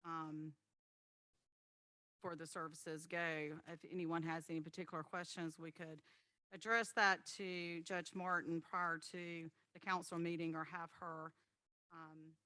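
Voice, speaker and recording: Bright-voiced woman chairing a council committee. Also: low-pitched, level tone, unhurried, dry recording. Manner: businesslike, procedural, with frequent um fillers. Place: city hall meeting chamber.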